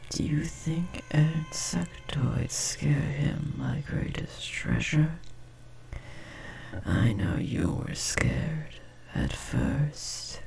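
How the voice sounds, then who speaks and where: A man voicing a possessive insectoid parasite, a doting yet menacing lover, in a breathy, gravelly whisper with slow, theatrical crooning for an audio horror roleplay. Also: a little high, very animated, a little mellow, heavily acted. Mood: amused, doting.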